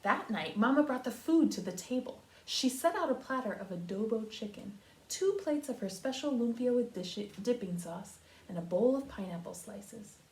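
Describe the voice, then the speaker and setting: A woman reading a children's storybook aloud like a kindergarten teacher, expressive and pausing to talk to young listeners. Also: a little high. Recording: roomy echo.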